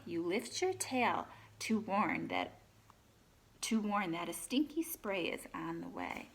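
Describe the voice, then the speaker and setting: A woman reads a children's picture book aloud expressively, pausing for chatty asides and questions to her young listeners. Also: medium pitch, fairly bright tone.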